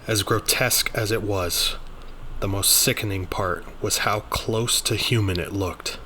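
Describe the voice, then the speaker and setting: A man's voice: on the low side, steady tone, a little slow, bright, forceful tone, a little hiss or hum. A man reading a scripted first-person horror story as its narrator.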